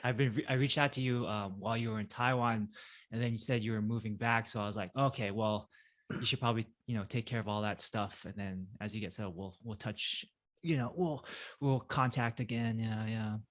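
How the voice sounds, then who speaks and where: A man hosting a podcast interview, talking casually with many pauses and fillers. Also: lowish voice, a bit bright. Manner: hesitant, many fillers, friendly. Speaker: podcast host.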